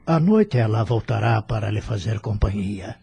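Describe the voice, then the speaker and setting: Guy acting nice composed doctor in audio drama, low n really raspy. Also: lively intonation, normal speed, warm tone, full character voice. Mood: calm reassurance. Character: kindly, composed male hospital doctor.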